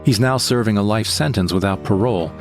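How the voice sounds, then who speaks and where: A man's voice: on the low side, a little fast, music in the background, natural voice. A man playing a frightened, bitter victim who recounts his ordeal in plain, short sentences for an audio drama monologue. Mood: grave recounting. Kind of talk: first-person scripted audio drama monologue.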